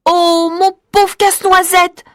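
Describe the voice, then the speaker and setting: A woman voicing an eager, wondering young girl in a storybook, her voice pitched high and breathless. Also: flat delivery, slow pace, a bit bright, no room sound, studio quality, full character voice.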